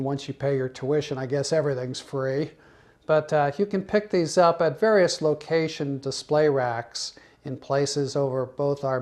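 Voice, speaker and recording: An American man giving an informal promotional talk as a college magazine adviser, with wry, joking asides. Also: on the high side.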